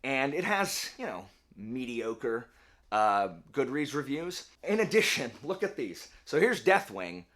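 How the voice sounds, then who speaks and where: A man hosting a casual book video, chatty and rambling, often correcting himself, his voice a little hoarse. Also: mid-range voice, very animated, unhurried, fairly bright tone. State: slightly hoarse. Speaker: book channel host.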